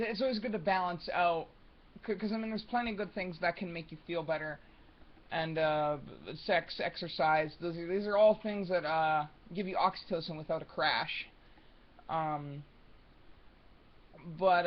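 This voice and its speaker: A young man with a high, soft voice talking unscripted at home, rambling with many ums and restarts. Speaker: vlogger. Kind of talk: unscripted casual monologue. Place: room at home. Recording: muffled lo-fi home recording.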